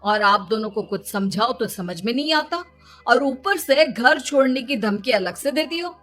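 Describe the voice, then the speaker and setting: A woman's voice: on the high side, expressive, normal speed, a bit bright, soft background music. A woman voicing a harried, exasperated young husband in a children's cartoon. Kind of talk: Hindi children's cartoon dubbing.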